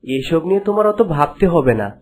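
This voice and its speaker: A man's voice: on the high side, sing-song, fairly quick, a little mellow. A man voicing a stern, suspicious young prince with a firm, questioning manner in a cartoon. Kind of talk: cartoon dialogue.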